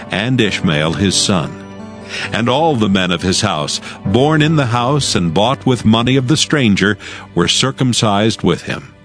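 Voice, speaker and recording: A man narrating scripture from a script in a measured, formal manner. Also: on the low side.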